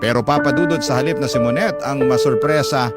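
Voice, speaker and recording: Earnest male narrator over loud music. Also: mid-range voice, fairly quick. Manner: earnest, confessional. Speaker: storyteller narrating a letter. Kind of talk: scripted first-person radio narration.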